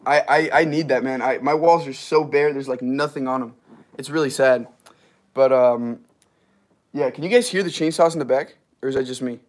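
A young man in his twenties talks on a relaxed livestream, his voice slightly hoarse and strained. He rambles loosely, full of fillers and quick laughs, as he answers viewer comments. He sounds close to a device camera held at arm's length in a small wood-paneled garage room. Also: mid-range voice. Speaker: livestream host. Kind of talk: casual livestream chat reading comments.

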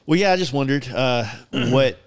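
A man's voice: medium pitch, expressive, moderate pace. A man of about forty hosting a casual podcast chat, swearing freely and rambling as he interrupts himself, close on a podcast mic. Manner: profane, rambling, self-interrupting. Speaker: podcast host. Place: garage workshop, indoors. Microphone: podcast microphone on boom arm, close.

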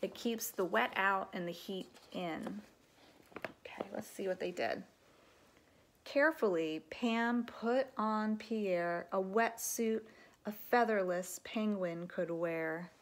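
Woman reading slowly to young children. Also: mid-range voice. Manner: expressive, deliberate storybook delivery for young children. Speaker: elementary teacher reading a picture book aloud. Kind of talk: children's read-aloud with explanatory asides. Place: living room at home.

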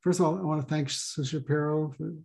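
A man hosting a talk over a video call, speaking haltingly with many pauses and ums. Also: on the high side, steady tone, vocal fry, crowd noise. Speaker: host and co-chair.

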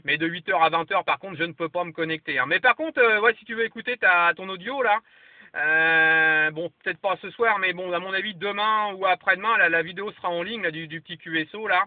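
A man's voice: high voice, brisk, dry recording. A man chatting casually as a radio operator and giving signal reports. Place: parked vehicle. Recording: lo-fi camera audio, muffled, dry.

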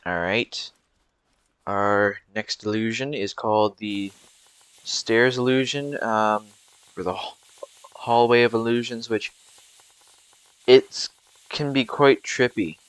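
A man's voice: lowish voice, takes it slowly, long pauses, clear, resonant tone, dry recording, low-quality recording. A young man giving rambling, casual commentary over gameplay, with frequent fillers and restarts. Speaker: gameplay video creator.

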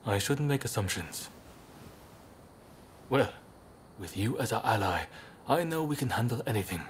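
Guy voicing a young samurai leader in a game, courteous and composed, really expressive pitch, earnest, bit of background noise. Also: natural voice. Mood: grave, thoughtful. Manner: polite, measured, earnest. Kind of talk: video game cutscene acting.

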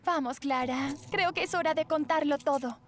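Woman as a high-voiced, sincere teen girl. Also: moderate pace, a little hiss or hum, natural voice. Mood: rueful reflection. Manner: earnest, confessional. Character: sensitive, sincere teenage girl, sweet and contrite. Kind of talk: animated cartoon dub. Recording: music behind the voice.

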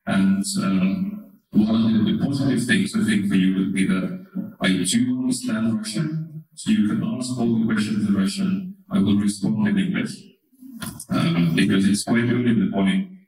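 A man's voice: lowish voice, moderate pace. Estonian-accented man in an echoing hall. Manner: relaxed, wry asides, frequent "right?" checks. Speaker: conference speaker. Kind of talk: conference keynote talk. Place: large conference hall, indoors. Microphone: handheld microphone through hall speakers. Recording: echoey hall, from far off.

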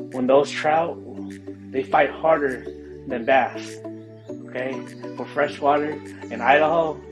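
A man explaining his fishing trip and gear in a relaxed, casual way on a snowy riverbank, recorded on a body camera. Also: medium pitch, poor audio. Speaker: fishing vlogger. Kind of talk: casual outdoor narration.

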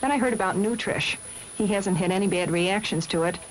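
A woman's voice: mid-range voice, fairly quick. An American woman giving a customer testimonial in a 1970s radio commercial. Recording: faintly noisy 1970s radio cassette.

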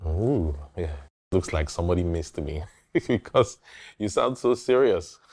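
Deep-voiced smooth-talking male lover. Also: expressive, a little slow, darkish tone, full character voice. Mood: casual, cheerful. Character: smooth-talking male lover, evasive and defensive when cornered. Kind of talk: film drama acting.

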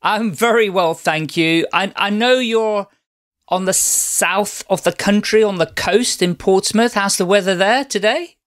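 A man's voice: high-pitched, fairly bright tone. A man hosting a podcast, welcoming his guest and asking questions in an upbeat, encouraging way. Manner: upbeat, encouraging, quick acknowledgements. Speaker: podcast host. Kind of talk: podcast interview.

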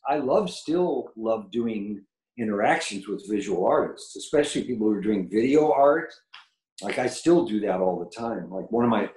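Older man, baritone, roomy video call. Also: a little mellow. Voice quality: low baritone voice. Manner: rambling, enthusiastic, many fillers. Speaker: guest musician. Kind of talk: casual interview chat. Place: home room with painted mural, video call. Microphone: video call webcam.

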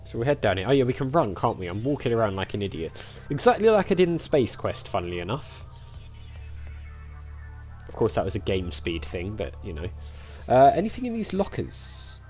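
A man's voice: lowish voice, very animated, fairly quick, a little mellow, faint music behind. A chatty man giving casual let's-play commentary, thinking aloud as he goes. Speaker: gaming YouTuber.

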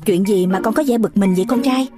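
A woman voicing a patient, gently firm mother dog in a children's cartoon, with a measured, motherly way of questioning. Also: medium pitch, lightly acted. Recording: music behind the voice.

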